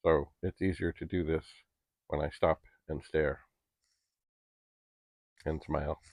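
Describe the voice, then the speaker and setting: Deep-voiced middle-aged man, chatty. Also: little pitch movement, takes it slowly, darkish tone, no echo. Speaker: vlogger. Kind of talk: casual rambling vlog talk to camera. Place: basement at home.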